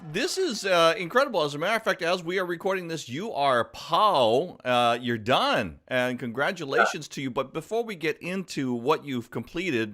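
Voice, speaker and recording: Excited male host. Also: a little high. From Hawaii. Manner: lively, emphatic, drawn-out phrases. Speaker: show host. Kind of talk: upbeat live interview.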